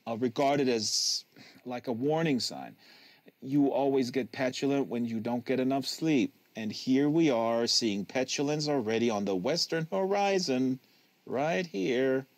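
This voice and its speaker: A man's voice: mid-range voice, a little slow, no room sound, close to an everyday voice. A man reading a novel, voicing a tired, patient, wry teenage older brother. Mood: weary, soothing.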